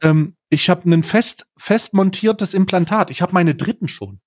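A man's voice: high-pitched, normal speed. A man telling anecdotes casually as a podcast co-host, blunt and slangy, dropping in bits of English.

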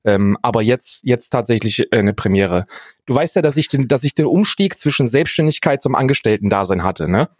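A man's voice: medium pitch, animated, fairly quick, husky, dry recording. Man telling self-deprecating stories, dry close sound. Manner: self-deprecating, dramatic exaggeration. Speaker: podcast co-host. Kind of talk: casual personal storytelling.

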